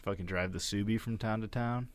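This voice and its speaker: Easygoing low-voiced man. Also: steady tone, a little fast, smooth. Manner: easygoing, encouraging, swears casually. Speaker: podcast co-host. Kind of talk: casual chat.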